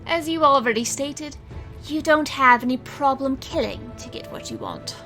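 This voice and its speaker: A woman's voice: high voice, animated, moderate pace, music in the background. A woman playing a showy, defiant young magician in an audio drama, with theatrical delivery and fierce protectiveness. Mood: defiant, urgent. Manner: theatrical showman delivery, swinging to hushed narration. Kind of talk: audio drama acting with first-person narration.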